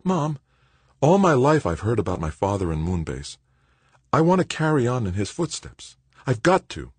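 A male reader becomes an earnest young man of eighteen, full of eagerness. The pitch is lifted well up and bounces around, excitable and clean. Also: quick pace, breathy edge, no room sound, full character voice. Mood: pleading, excited.

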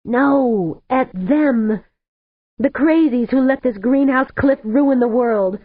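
A woman's voice: high voice, takes it slowly, no echo, voice pushed up. A woman voicing an older female scientist and grandmother, tearful and bitter. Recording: tinny phone-like sound.

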